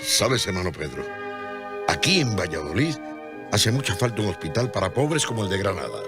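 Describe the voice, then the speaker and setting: An actor playing a devout, selfless and determined friar in a solemn, earnest radio drama style. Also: male voice, low voice, big pitch swings, moderate pace, loud music behind the voice. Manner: earnest, fervent, declamatory.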